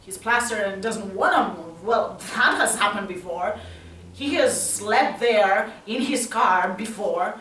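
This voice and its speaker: A woman acting a tense, troubled youth haunted by violent parents in a halting, intense stage monologue. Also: medium pitch, natural voice. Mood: bitter. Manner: slow, halting, intense, from hushed to loud. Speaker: actress performing a solo monologue. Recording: roomy, distant mic, faint noise.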